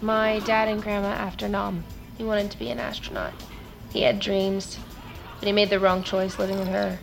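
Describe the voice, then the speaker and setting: An actress as a young woman in an audio drama, acting as a guide to memories. She speaks at a middle pitch in a confiding, explaining way, with music behind her. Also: completely flat delivery, normal speed, creaky, natural voice.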